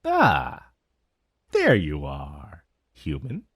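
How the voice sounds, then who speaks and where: An ancient, urbane dragon, acted by a man in a fantasy audio roleplay. He drawls extremely slowly in a low voice that swoops dramatically, sounding amused and vaguely menacing. The sound is dry and intimate. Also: huge pitch swings, a bit bright, breathy edge, dry recording, exaggerated voice. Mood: amused, impressed. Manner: theatrical, drawling, savoring words, amused. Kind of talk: fantasy roleplay ASMR monologue.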